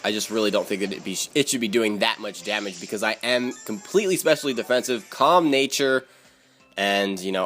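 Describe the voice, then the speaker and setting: A man's voice: lowish voice, a bit bright. A man narrating his own video game battle as commentary, repeating words and phrases for emphasis.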